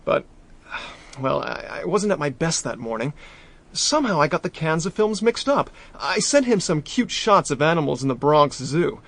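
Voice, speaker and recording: An actor playing a brash, excitable young American diplomat with slangy speech. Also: male voice, a little high, normal speed, vocal fry, full character voice. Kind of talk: radio drama acting.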